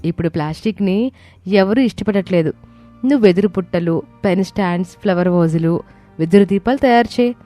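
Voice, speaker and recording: A woman's voice: mid-range voice, a little fast, dark tone, faint music behind, lightly acted. A woman voicing an encouraging, resourceful young daughter-in-law in a moral story. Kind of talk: moral story character acting.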